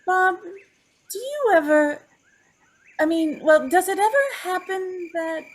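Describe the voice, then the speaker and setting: A woman's voice: very high voice, lively intonation, slow pace, heavily acted. A woman playing a troubled, pleading young woman in an old-time radio drama, speaking hesitantly and with emotion. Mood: anxious. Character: distraught young condemned woman confessing to dead mother.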